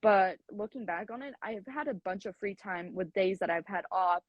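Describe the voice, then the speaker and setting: A woman's voice: medium pitch, normal speed, no echo, muffled recording. Young American woman around twenty, college athlete guest, chatty with fillers, dry close recording. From United States. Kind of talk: casual interview answers.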